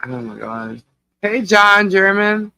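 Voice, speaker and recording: A woman co-hosting a casual livestream chat, teasing and chatty and quick to laugh. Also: mid-range voice, sing-song, sharp tone. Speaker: livestream co-host.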